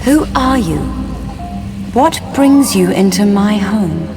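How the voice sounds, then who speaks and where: Slow, echoing, haughty legendary lady. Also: medium pitch, expressive. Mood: haughty, teasing. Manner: archaic, theatrical, deliberate. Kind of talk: theatrical video game dialogue. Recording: long reverb, loud music behind.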